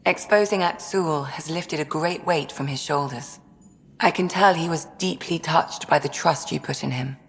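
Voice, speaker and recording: An actress playing a calm, perceptive ship's doctor in a video game, with a measured, reassuring manner and a low, slightly gravelly voice. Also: female voice, big-room sound. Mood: warm, thoughtful. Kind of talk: video game dialogue acting.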